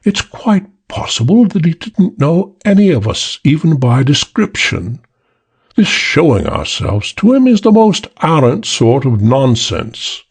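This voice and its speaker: A cold, unflappable stout man with a British accent, as a narrator plays him, drawling slowly and evenly. The voice is low and gravelly, and every calm word carries a quiet threat in a pulp crime story. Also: full character voice. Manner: slow, emotionless drawl.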